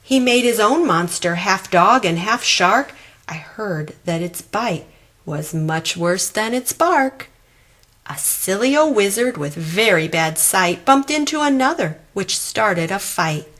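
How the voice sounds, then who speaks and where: Female storybook reader, unhurried pace, expressive and dramatic with rhyming verse. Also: medium pitch. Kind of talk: scripted rhyming children's story read aloud.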